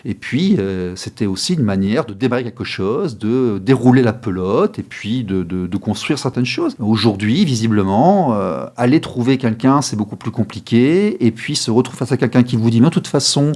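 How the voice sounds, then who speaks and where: A man's voice: medium pitch, lively intonation, normal speed, hardly any pauses, a little mellow. A man in his fifties who hosts a radio talk show, speaking hesitantly with many fillers and restarts. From France. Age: 50s.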